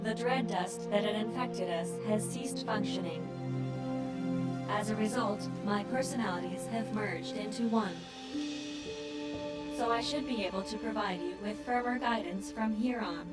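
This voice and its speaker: A woman voicing a composed, helpful AI in a video game, speaking in measured, precise, formal phrases. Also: medium pitch, distant mic, over loud music, natural voice. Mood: calm, informative. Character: female support AI, composed, helpful, gently wry. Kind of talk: video game cutscene acting.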